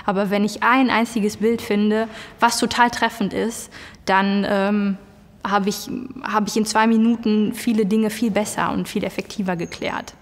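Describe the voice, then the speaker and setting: A woman's voice: mid-range voice, fairly even intonation, unhurried, big-room sound. A woman music student, answering interview questions in her native German in an articulate, reflective way.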